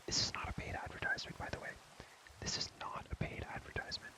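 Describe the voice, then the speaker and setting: A man's voice: fast, rough recording. Whispering young man doing repetitive ASMR. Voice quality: breathy, mostly unvoiced whisper. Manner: repeats words and phrases over and over. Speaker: ASMR creator and host. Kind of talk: whispered ASMR, playful rambling. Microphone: close ASMR microphone.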